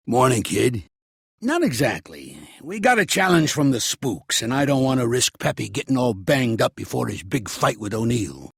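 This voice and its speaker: An actor playing a gruff, streetwise older mobster in a video game, with an American accent, a raspy voice and a blunt, slangy, commanding way of talking. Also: male voice, medium pitch, fairly bright tone, no echo, clean studio sound, full character voice. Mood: coaching, gruff. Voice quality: raspy, gravelly. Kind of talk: video game character acting.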